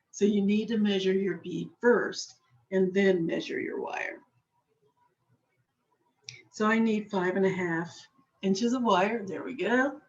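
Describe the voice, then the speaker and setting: Woman, craft instructor, unhurried, slightly hoarse, dull lo-fi camera audio. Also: mid-range voice. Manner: patient, chatty, talks while working with her hands. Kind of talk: slow step-by-step craft demonstration.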